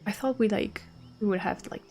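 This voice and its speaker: A woman's voice: medium pitch, brisk, background noise. A woman streamer giving casual live commentary while playing a game. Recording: faint game music behind.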